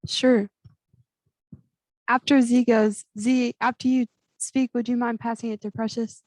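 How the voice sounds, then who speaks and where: A woman chairing a student government meeting over a video call, brisk and upbeat as she calls on people. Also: a little high, level tone, a little mellow, dry recording, rough recording. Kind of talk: running a meeting.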